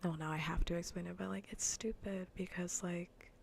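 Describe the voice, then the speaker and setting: Low, soft half-whispering woman streamer. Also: steady tone, moderate pace, slight background noise. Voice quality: soft, breathy near-whisper. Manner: blunt, sardonic, profane rambling. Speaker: livestreamer hosting an ASMR gaming stream. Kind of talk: casual ASMR livestream chat, soft and half-whispered.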